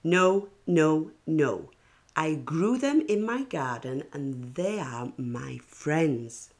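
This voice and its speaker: A woman acts out a stubborn little schoolgirl from a picture book. She is standoffish and refuses curtly and emphatically, with an animated pitch and measured pace. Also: heavily acted. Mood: indignant.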